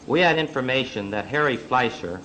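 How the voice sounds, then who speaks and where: Sharp-voiced police captain, man. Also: medium pitch, lively intonation, moderate pace, rough recording. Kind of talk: formal statement for radio. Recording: old radio recording, faint noise.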